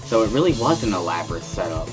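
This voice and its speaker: A man with a fairly low voice reads scripted first-person narration. His delivery is wry and dry, like an inner monologue, and music plays behind him. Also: very animated, fast. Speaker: narrator of a visual novel reading.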